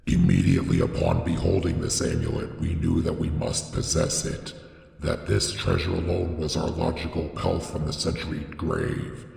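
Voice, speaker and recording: An extremely low-voiced man narrates a horror tale in heavy, crackling fry. His delivery is solemn, deliberate and theatrical, and every phrase trails off into a long, echoing reverb. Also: lively intonation, darkish tone. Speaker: narrator reading a horror story. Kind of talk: scripted dramatic horror audiobook narration.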